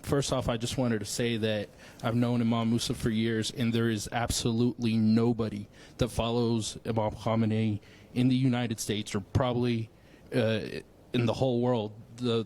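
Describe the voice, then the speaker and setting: A man's voice: medium pitch, little pitch movement, moderate pace, a little mellow. A young man from Dallas, Texas, in the studio audience, politely asking a question with some hesitation.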